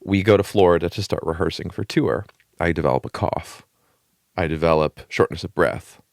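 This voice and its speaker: A young man with a deep, low voice talks through a long story in a relaxed, rambling way. He swears now and then and leans on fillers. The sound is dry and close to the mic. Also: moderate pace, gravelly, no echo. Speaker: podcast co-host. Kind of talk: casual chat.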